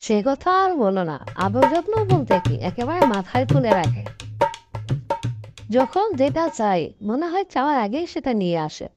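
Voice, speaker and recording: A woman as a high-voiced cartoon fox wife. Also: very animated, moderate pace, loud music behind the voice. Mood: contented. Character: contented, coaxing young fox wife. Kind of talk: cartoon story acting.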